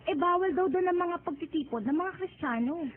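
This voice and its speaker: A woman's voice: very high-pitched, brisk, slight background noise. An actress playing a concerned young Filipino woman in a radio drama, incredulous and questioning a friend. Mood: incredulous, worried. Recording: tinny phone-like narrow band.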